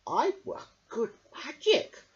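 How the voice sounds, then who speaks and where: A woman's voice: high-pitched, wildly swooping pitch, slow pace, pitched above the performer's own voice, full character voice. A woman voicing an earnest, puzzled male magician in a storybook, plain and musing. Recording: dull lo-fi home recording.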